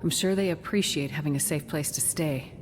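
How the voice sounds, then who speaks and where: An actress playing a composed, determined woman in a video game drama, calm, serious and reassuring. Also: low voice, a little fast, noisy background, close to an everyday voice. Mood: polite, purposeful. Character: composed, determined woman searching for a missing doctor.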